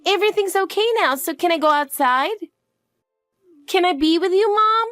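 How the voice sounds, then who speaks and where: A woman's voice: very high-pitched, lively intonation, moderate pace, fairly bright tone, no room sound, faint music behind, pitched above the performer's own voice. A woman voicing an anxious, clingy young girl who pleads and asks question after question in a dramatized story. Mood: hopeful.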